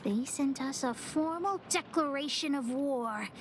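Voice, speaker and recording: A woman's voice: high voice, lively intonation, measured pace, noisy background. A woman playing a bossy, boastful young child gang leader in a video game, theatrical and self-important. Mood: earnest storytelling. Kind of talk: video game character acting. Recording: game music behind the voice. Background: game music.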